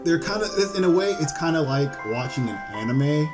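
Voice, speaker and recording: Halting male let's player over game music. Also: a little high, lively intonation. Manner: halting, stumbles and repeats phrases. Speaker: gaming video host. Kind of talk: visual novel let's play, reading aloud and commenting. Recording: music behind the voice.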